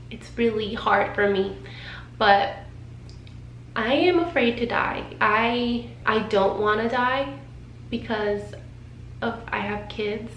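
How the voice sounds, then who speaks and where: A woman's voice: mid-range voice, a bit bright. A woman in her thirties talking candidly and emotionally in a personal vlog, rambling a little as she shares her feelings. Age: 30s. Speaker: vlogger. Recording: roomy sound with faint noise.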